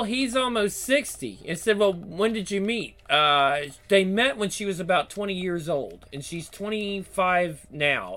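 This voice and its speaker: Casting: man in his 30s, channel host, high bright voice, blunt, swears a lot, rambling chat. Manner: blunt, profane, rambling with many restarts. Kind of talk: casual chat.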